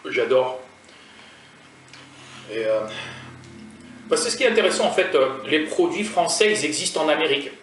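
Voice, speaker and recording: A man's voice: on the high side, very animated, normal speed, long pauses, a bit bright. A man from France with a French accent, chatting casually to his viewers while tasting food on his vlog. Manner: chatty and rambling, talking straight to viewers. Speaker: food vlogger. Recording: roomy sound from a distant mic.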